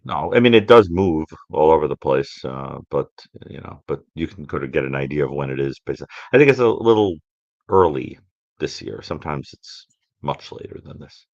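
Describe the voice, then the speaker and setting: Very deep-voiced, musing man. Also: expressive, normal speed, no room sound, dull audio. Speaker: guest expert and author. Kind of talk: reflective live-stream conversation.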